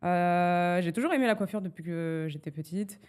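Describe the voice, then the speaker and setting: Reserved woman in her late thirties from France, quiet and hesitant, speaking casually as an interview guest. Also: fairly low pitch, moderate pace, clear, resonant tone. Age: 30s. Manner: soft-spoken and reserved, with many hesitations. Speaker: guest, hairstylist.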